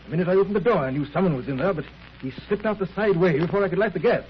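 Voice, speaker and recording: An actor playing an anxious senior English hospital chief, fretful, urgent and stammering, in a 1930s radio drama. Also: male voice, high voice, very fast, close to an everyday voice. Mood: agitated. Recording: muffled, noisy 1930s radio recording.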